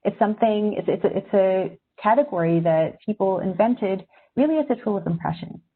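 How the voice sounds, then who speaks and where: A woman's voice: mid-range voice, lively intonation, fairly quick, breathy edge. A woman who grew up in Syria, a magazine editor, giving a thoughtful, reflective webinar talk to journalism students over a video call. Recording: tinny video-call audio.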